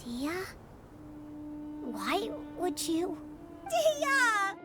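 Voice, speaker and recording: Slow-speaking, high-voiced plaintive child. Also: female voice, huge pitch swings, full character voice. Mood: hurt, pleading. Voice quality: childlike, small. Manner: plaintive, halting. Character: young child worried for a grandparent. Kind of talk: video game voice acting. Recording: music under the voice.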